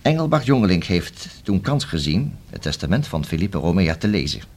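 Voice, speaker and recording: An actor in a Dutch radio drama playing a probing police inspector, courteous but blunt, speaking in a deliberate, explanatory way. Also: male voice, sits low, big pitch swings, a little mellow, full character voice. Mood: explaining, intent.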